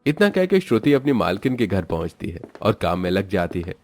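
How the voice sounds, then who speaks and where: A man's voice: low-pitched, very animated, a little fast, darkish tone, dry recording. A man narrating a scripted moral story as its storyteller.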